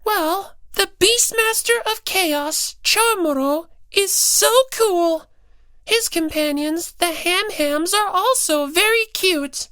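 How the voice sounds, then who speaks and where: Acted polite girl, extremely high voice, quaint formal wording, innocent enthusiasm, audiobook. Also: slow, pushed and bright, no room sound, clean studio sound, exaggerated voice. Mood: delighted.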